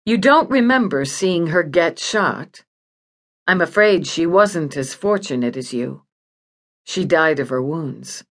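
A woman voicing a calm, probing female investigator in audiobook dialogue, polite and keeping her temper. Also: deep voice, takes it slowly, heavily acted. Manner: polite, even, persistent.

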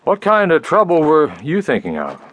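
An actor playing a self-assured Texas Ranger in a western audio drama, speaking in a plain, matter-of-fact way. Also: male voice, a little high, wildly swooping pitch, moderate pace. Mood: concerned, inquiring.

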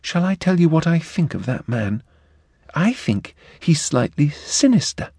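A male reader voicing a prim, slightly deaf young woman in an audiobook, speaking in an earnest, lilting falsetto. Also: big pitch swings, a little slow, a little mellow, no room sound, clean studio sound, exaggerated voice. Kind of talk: audiobook character voice.

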